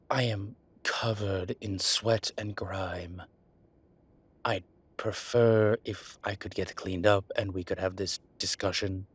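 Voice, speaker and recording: Audio roleplay: male actor as demon professor, low and husky, slow-spoken, halting, defensive, easily exasperated. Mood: awkward, reluctant. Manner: halting, defensive, muttering asides. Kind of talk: audio roleplay acting, one-sided dialogue.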